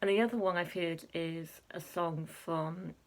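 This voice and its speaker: A woman's voice: fairly low pitch, steady tone, unhurried. Chatty woman vlogging. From Wales. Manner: chatty, many ums, laughs at herself. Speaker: Eurovision reaction vlogger. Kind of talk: casual chat to camera.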